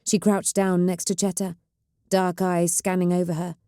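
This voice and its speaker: A woman voicing a slight young heretic witch who speaks in a flat, dispassionate, small voice. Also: on the low side, moderate pace, clean tone, no echo, studio quality, lightly acted.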